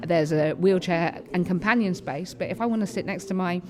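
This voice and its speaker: A woman's voice: fairly low pitch, fairly quick. A woman speaking conversationally as an interview guest, with involuntary vocal tics that can interject stray words. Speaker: panellist and theatre-maker being interviewed. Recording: busy venue with crowd chatter behind. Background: crowd chatter.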